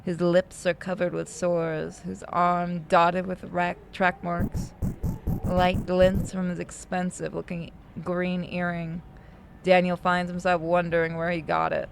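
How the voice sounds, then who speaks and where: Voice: mid-range voice, monotone, a little slow, gravelly, slight background noise, low-quality recording. A streamer narrating a horror visual novel aloud and breaking off for casual asides.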